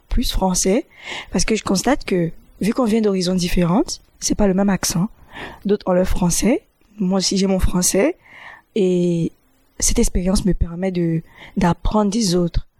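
A young woman university student answering interview questions in a hesitant, halting way, often restarting her sentences. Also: lowish voice, dark tone, no room sound. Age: young adult.

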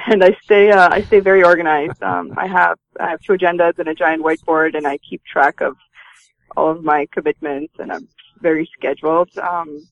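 A woman's voice: lowish voice, steady tone, a little fast, vocal fry. A woman, giving conversational answers as an interview guest. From Romania and Canada. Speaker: interview guest, magazine publisher. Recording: dull lo-fi line, roomy sound.

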